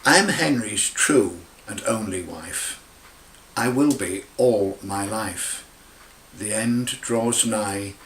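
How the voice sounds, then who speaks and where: Man solemnly reciting verse, echoey room. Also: mid-range voice. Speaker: poet reading own poem. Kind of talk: recited dramatic monologue in rhyming verse. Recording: roomy, echoing amateur recording.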